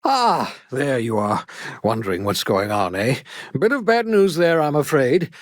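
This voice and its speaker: An actor playing an eccentric older fugitive scientist in a video game, wry and condescending, muttering theatrically to himself. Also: male voice, mid-range voice, sing-song, unhurried, echoey room, in a large hall. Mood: excited, explaining. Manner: muttering to himself, dryly sardonic, swooping emphasis.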